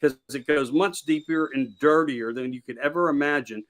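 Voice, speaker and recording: A man's voice: fairly high pitch, moderate pace, fairly bright tone. A man from Pennsylvania, a podcast host appearing as a guest, talking politics in a rambling, emphatic way and repeating his key phrases. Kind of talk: casual political chat.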